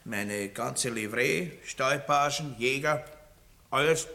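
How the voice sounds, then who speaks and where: A boorish, lecherous middle-aged country baron in a radio play, bragging and pompous with an Austrian accent. The voice sits mid-range and sounds bright and forceful. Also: male voice, mid-range voice, takes it slowly, vocal fry, heavily acted. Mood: leering. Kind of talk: 1960s radio drama acting.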